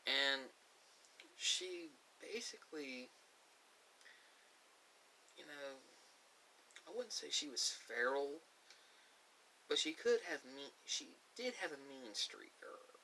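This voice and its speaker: A middle-aged man telling a personal story in a reflective way, halting often between short phrases. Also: a little high, animated, pushed and bright, poor audio. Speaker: ASMR video creator. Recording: clear background hiss.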